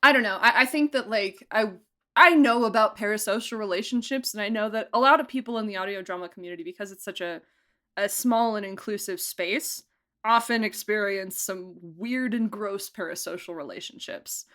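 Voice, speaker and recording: A woman's voice: mid-range voice, normal speed, a bit bright. A woman being interviewed as a producer, chatting informally and emphatically. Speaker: audio drama producer and director being interviewed. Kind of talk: casual chat.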